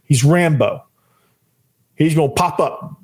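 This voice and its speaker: A young man with a hoarse, fading voice hosting a solo sports podcast, fired up and rambling, repeating himself and tossing in "man" a lot. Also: on the high side, slow pace. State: losing his voice, hoarse. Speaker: podcast host.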